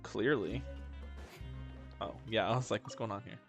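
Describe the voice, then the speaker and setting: A man's voice: on the low side, moderate pace. A man giving casual, slangy commentary on a video game and reading its text aloud with joking asides. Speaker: game streamer. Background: faint game music.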